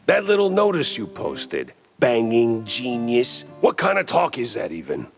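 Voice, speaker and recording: An actor playing a world-weary, wisecracking mouse private detective in a noir video game, gruff, gravelly and deadpan. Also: male voice, mid-range voice, measured pace, faint music behind, exaggerated voice. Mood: exasperated. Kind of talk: noir game cutscene acting.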